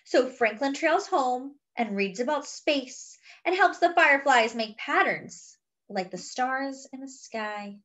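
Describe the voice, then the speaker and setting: A woman with a fairly high, very lively voice reads a children's picture book. She takes her time, stretching words for young listeners, and slips in chatty asides. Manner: exaggerated, drawn-out delivery addressed to young children. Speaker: storyteller reading a picture book aloud to children. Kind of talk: expressive children's read-aloud with chatty asides.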